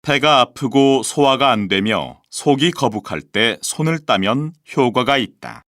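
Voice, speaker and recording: A man's voice: mid-range voice, lively intonation, unhurried, a bit bright, dry recording, studio quality. A man voicing scripted dialogues and a model presentation for a language textbook, with careful, evenly enunciated reading. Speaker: textbook audio voice actor.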